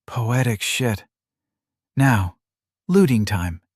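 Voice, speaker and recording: A man's voice: medium pitch, very animated, drawn-out words, dry recording, clean studio sound, full character voice. A man voicing a petite young female adventurer, blunt and profane, with sarcastic asides.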